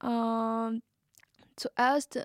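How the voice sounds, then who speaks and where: A young woman from Taiwan, a guest student, answering podcast interview questions in careful learner's German, with many pauses, fillers and restarts. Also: on the high side, little pitch movement, smooth, dry recording, studio quality.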